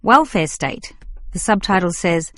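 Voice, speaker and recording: Female student played by a woman, young, agreeable yet opinionated, measured scripted delivery, dry studio acoustic. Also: on the low side, animated, a bit bright, dry recording. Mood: agreeable, reasoning. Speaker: student in a listening test dialogue. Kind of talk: scripted exam dialogue acting.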